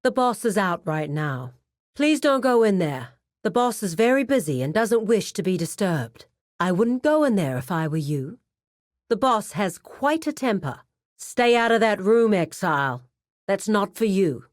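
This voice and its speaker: An actress playing a composed, businesslike crime cartel administrator in a video game, speaking in a formal, measured, authoritative way. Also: female voice, mid-range voice, very animated, no echo, studio quality. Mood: firm, polite warning. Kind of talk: scripted video game character dialogue.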